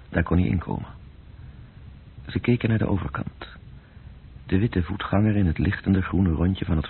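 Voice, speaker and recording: A man narrating a literary radio drama in a measured, descriptive way, with a deep, gravelly voice. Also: slight background noise. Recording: muffled narrow-band radio play recording.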